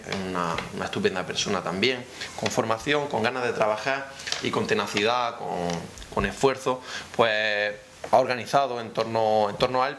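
Male councillor, thirties, fairly low voice, semi-formal rhetorical delivery with repeated phrases, faint background noise. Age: 30s. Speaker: town councillor for youth, presenting at a press conference. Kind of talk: semi-formal press conference speech. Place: indoor press room, seated at a table. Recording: noticeable room background noise.

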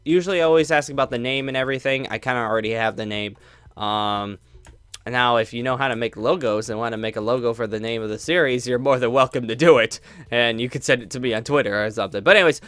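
A man's voice: medium pitch, fairly bright tone, clean tone, no room sound. A young man chatting casually to his viewers, rambling with many fillers and restarts. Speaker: YouTube roleplay creator.

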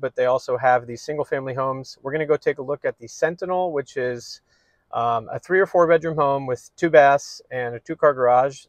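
A man in his thirties, a real estate agent, talking casually to the camera from inside a parked car, friendly and relaxed with plenty of "um"s. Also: medium pitch, no echo. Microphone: phone or camera held at arm's length.

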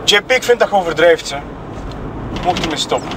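Higher-pitched Flemish man, acted, chatty and halting. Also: expressive, noisy background. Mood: annoyed, firm. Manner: colloquial, halting, chuckling between phrases. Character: chatty, self-excusing man giving a murder-case testimony. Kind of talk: improvised-sounding audio drama dialogue.

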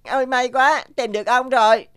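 A woman's voice: sits high, steady tone, moderate pace, a bit bright, clear, resonant tone. A woman voicing a polite, eager, determined young street boy in a cartoon. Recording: music behind the voice.

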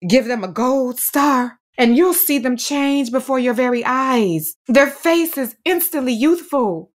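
A woman playing a dedicated, weary third-grade teacher in an audio drama, earnest and deliberate, leaning on repetition. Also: on the high side, fairly bright tone, exaggerated voice. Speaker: playwright and actor.

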